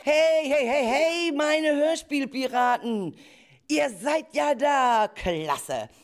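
Children's radio play: enthusiastic female group leader acted by a woman, encouraging, very animated intonation, measured pace. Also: high voice. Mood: excited. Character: enthusiastic adult woman leading a group of schoolchildren. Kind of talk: amateur school radio play acting.

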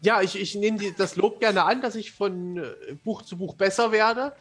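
Male interview guest, high-pitched and husky, wry and self-mocking, relaxed colloquial phrasing. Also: moderate pace, faint music behind. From Germany. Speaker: fantasy author, podcast guest. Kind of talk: casual interview chat.

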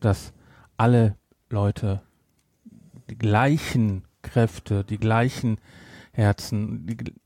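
A man's voice: lowish voice, fairly even intonation, very slow, a little mellow, no echo, studio quality. A middle-aged man chatting casually and jokingly as a podcast co-host, rambling as he goes. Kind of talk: casual unscripted podcast chat.

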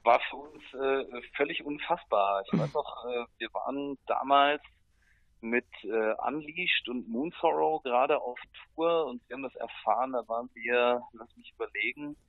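Male musician interviewee, middle pitch, colloquial and halting delivery, very dull lo-fi audio. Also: no echo. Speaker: metal band musician, interview guest. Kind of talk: casual spoken interview.

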